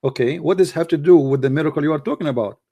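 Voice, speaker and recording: A man's voice: fairly high pitch, rapid-fire, mellow, no room sound. A man whose first language is Arabic, hosting a live unscripted debate in an insistent, challenging manner, often repeating himself. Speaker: host debating a guest.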